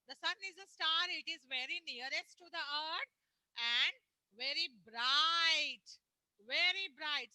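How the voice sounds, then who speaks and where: A woman teacher with an Indian English accent, explaining a lesson slowly to young children on a video call, repeating points and asking them questions. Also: sits high, bright, forceful tone, no echo, low-quality recording. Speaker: primary school teacher. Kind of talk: online class lesson. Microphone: laptop or phone on a video call.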